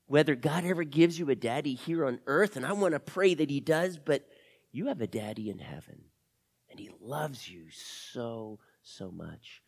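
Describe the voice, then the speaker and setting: A male storyteller playing a caring young girl in a tale, speaking gently and earnestly to console. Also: fairly high pitch, brisk, few pauses. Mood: tender, earnest.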